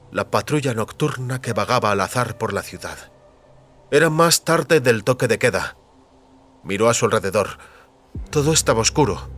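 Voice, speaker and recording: Un hombre narra con guion un relato dramático de ciencia ficción. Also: male voice, mid-range voice, a bit bright. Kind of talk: scripted dramatic story narration.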